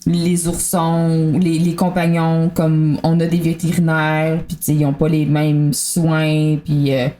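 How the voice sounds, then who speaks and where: Mellow, low-pitched Quebec woman chatting. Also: flat delivery, takes it slowly, hardly any pauses. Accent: Quebec French. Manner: colloquial, thinking aloud. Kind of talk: casual after-show discussion.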